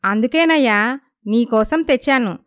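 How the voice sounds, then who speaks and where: Lady playing chatty village sister in law, sweet talker w a catty streak, smooth high voice, story style. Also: unhurried, fairly bright tone, no room sound, studio quality. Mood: coaxing, catty. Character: friendly flattering village sister-in-law, Lakshmi, gossipy.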